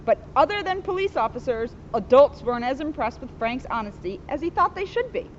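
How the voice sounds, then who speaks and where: A woman's voice: high-pitched, sing-song, brisk. A woman police officer reading a children's book aloud outdoors, expressively, with chatty asides. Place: outdoors in a sunny spot by a school. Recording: dull, faintly noisy outdoor recording.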